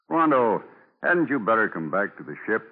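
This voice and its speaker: An actor playing a practical, concerned ship's captain, plain-spoken and coaxing, in a 1940s radio drama. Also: male voice, fairly low pitch, very animated, normal speed, phone-quality sound. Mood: doubtful concern. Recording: thin 1940s radio broadcast.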